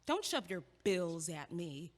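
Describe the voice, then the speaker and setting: A blunt, impatient young student pushing her case with brisk, dry logic. Her pitch swings widely from line to line in this audio drama, and a long reverb hangs behind her. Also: very animated, full character voice.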